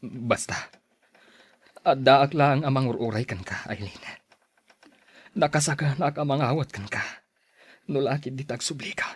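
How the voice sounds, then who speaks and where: A man's voice: mid-range voice, moderate pace, long pauses, breathy edge, dry recording, close to an everyday voice. An actor with a Filipino accent playing a lovesick, heartbroken man who drinks, with an emotional, pleading delivery in radio drama style. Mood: longing.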